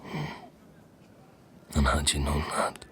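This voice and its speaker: An actor playing a bitter, sardonic, wounded man in an audio drama, speaking in a hushed, breathy voice. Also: very animated, heavily acted. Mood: probing. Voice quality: breathy, whispery, very deep voice. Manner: slow, hushed, probing and sardonic.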